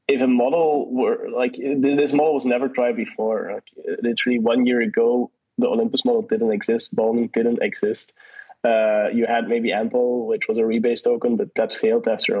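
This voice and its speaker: A man talks through his answers in a casual online chat. His pitch barely moves, and he stops and restarts often. The audio sounds muffled and lo-fi, like a voice call. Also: slightly rough. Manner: hesitant, many restarts and fillers. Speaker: guest from a partner project.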